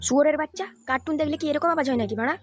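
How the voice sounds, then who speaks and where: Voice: sits high, very fast, lo-fi sound, natural voice. A performer voicing an angry, suspicious, foul-mouthed father in a comedy cartoon. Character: angry, cursing, suspicious cartoon father scolding his son.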